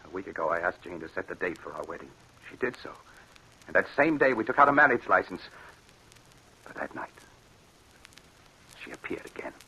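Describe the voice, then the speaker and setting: A man's voice: sits low, big pitch swings, a little fast, pauses often, sharp tone, exaggerated voice, low-quality recording. An actor playing a haunted, fearful man in an old radio drama, melodramatic and intense, stammering under strain. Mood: grim, haunted. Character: haunted, fearful man tormented by a ghostly cat-woman. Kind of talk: 1940s radio drama acting. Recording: hissy old radio broadcast.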